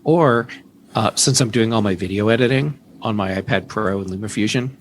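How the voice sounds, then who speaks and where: A man's voice: lowish voice, brisk, a little hiss or hum. A man speaking as a podcast guest in casual tech chat, conversational and emphatic.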